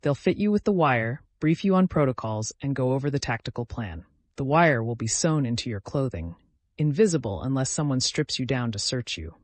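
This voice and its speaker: A man's voice: medium pitch, animated, normal speed, dry recording, close to an everyday voice. A man voicing a professional, direct woman prosecutor with controlled tension in a dramatic audio story. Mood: steady, urgent.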